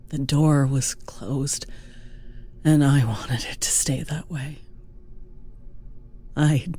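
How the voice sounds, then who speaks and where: A woman narrating a horror audiobook as a frightened young village wife held captive, breathy and hushed, often near a whisper. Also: deep voice, exaggerated voice. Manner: slow, halting, hushed.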